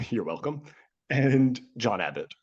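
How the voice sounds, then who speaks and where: A man's voice: medium pitch, very animated, takes it slowly, a little mellow, breathy edge, muffled recording. A man hosting a casual history podcast, talking through a cold that nearly took his voice, joking and laughing often. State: nearly lost voice, sick. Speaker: podcast host. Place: home studio, remote.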